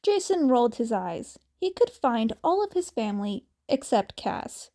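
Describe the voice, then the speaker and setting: A woman reading fan fiction aloud as an amateur narrator, in an informal, slightly halting way. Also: fairly high pitch, sing-song, dark tone. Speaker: amateur podfic reader.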